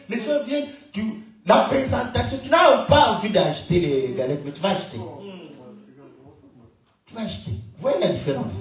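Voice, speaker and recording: Congolese pastor, sermon, high lively voice, off-mic in a booming echoey hall. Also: male voice, recorded from a distance. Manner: animated, swings between loud high exclamations and low murmured asides; addresses congregation with rhetorical questions, laughs often. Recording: very echoey room, speaker away from mic.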